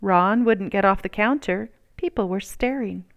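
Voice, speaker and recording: A woman reading a children's storybook aloud in an expressive, deliberate storytelling manner. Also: mid-range voice, vocal fry. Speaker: storybook reader.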